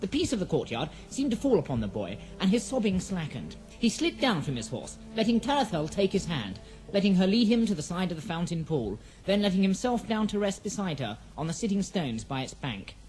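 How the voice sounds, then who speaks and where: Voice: mid-range voice, big pitch swings, fairly quick, darkish tone, soft background music, poor audio. A narrator reading a scripted fantasy audio drama in a poetic, dramatic storybook manner.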